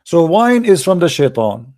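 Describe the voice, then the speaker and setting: Mellow-voiced man lecturing in a debate. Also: fairly high pitch, very animated, moderate pace. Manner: argumentative, emphatic, lecturing. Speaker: livestream debate host. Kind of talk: lecturing religious debate talk.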